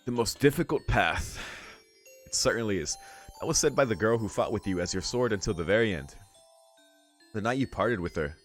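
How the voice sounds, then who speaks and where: A man reading a visual novel aloud as a let's play host, in measured narration with casual, slangy asides. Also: fairly low pitch, expressive. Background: music.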